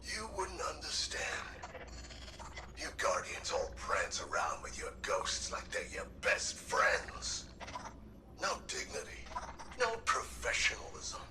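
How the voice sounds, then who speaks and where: A man's voice: lowish voice, huge pitch swings, takes it slowly, noisy background, exaggerated voice. A man voicing a bitter, haughty captive alien machine in game dialogue, disdainful and indignant, set back from the mic with music behind. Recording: distant voice under music.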